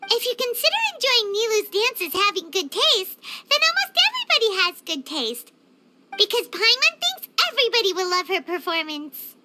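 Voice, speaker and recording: A woman voicing a tiny, excitable childlike fairy companion in a game, with a chirpy, squeaky delivery. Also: normal speed, heavy background noise. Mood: matter-of-fact. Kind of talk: game character acting.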